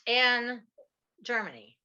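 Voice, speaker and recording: A woman's voice: fairly high pitch, expressive, slow, bright, forceful tone, smooth. A woman around sixty hosting a podcast interview, enthusiastic and exclamatory. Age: 60s. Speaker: podcast host. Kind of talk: upbeat interview. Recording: dull, muffled audio.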